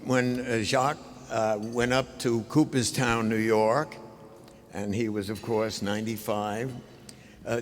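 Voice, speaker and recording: Older man, slow halting delivery, anecdotal ceremonial speech, long hall reverb. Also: medium pitch. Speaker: speaker giving a tribute at an award ceremony. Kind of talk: anecdotal tribute speech to an audience. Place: large indoor ceremonial hall.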